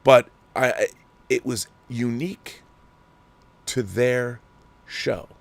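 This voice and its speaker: A man's voice: medium pitch, slow pace, gravelly, no room sound. A middle-aged man hosting a commentary show, ranting sarcastically and with exasperation. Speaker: show host.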